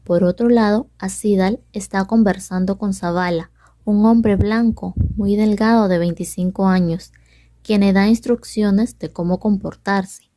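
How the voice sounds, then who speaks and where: A woman's voice: medium pitch, fairly even intonation, unhurried, warm tone, no room sound. A young woman, a student presenter, reading a scripted story summary as narrator. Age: young adult.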